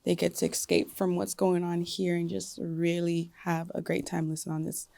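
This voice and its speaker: Young woman singer, lower voice, clear and dry, chatty interview guest. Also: moderate pace, no room sound. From United States. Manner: relaxed, many fillers like "like" and "you know". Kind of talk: casual interview talk.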